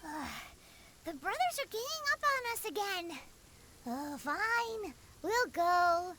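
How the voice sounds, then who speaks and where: Tiny squeaky game sidekick, very high-pitched, lively intonation, fretful, skeptical, indignant. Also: female voice, slow pace, a little hiss or hum, heavily acted. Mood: grumbling. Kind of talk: video game voice acting.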